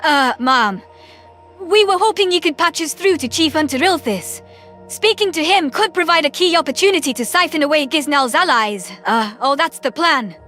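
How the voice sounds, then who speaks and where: A woman's voice: high voice, expressive, moderate pace, pushed and bright, soft background music. A woman playing a polite, eager human aide, deferential and earnest, in a science fiction audio story. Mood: hesitant.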